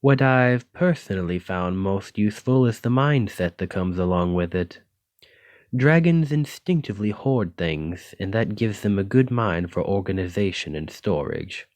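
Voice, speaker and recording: Dragon-blooded male librarian played by a man for ASMR romance: low voice, intimate, teasing, smitten and possessive, very dry close sound. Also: animated, normal speed, darkish tone, dry recording. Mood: earnest, confiding. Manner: intimate, teasing, uses pet names.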